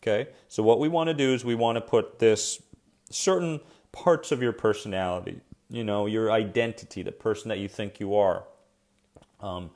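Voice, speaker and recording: A man's voice: on the low side, darkish tone. A man hosting a live coaching stream on anxiety, chatty and encouraging, with a soothing voice, talking straight to his viewers and reacting to their comments.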